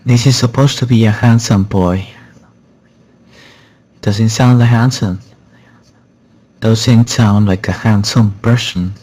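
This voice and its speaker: Man voice-changed into a low, slow handsome youth. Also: fairly even intonation, darkish tone. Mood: unimpressed. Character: handsome boy voice-changer preset.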